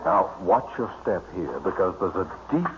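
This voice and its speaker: An actor playing a drily sarcastic, commanding hunting leader in a 1950s radio comedy. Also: male voice, deep voice, expressive, moderate pace. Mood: guiding, hushed. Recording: dull noisy old radio recording.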